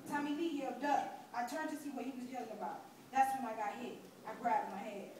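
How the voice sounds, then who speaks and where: A woman's voice: a little high, brisk, a crowd in the background, natural voice. A young woman student giving a dramatic reading as a frightened civil rights marcher telling her own story. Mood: tense recollection. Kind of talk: dramatic reading of poetic first-person testimony. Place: large echoey indoor room, distant mic. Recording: very echoey hall from far off, noisy.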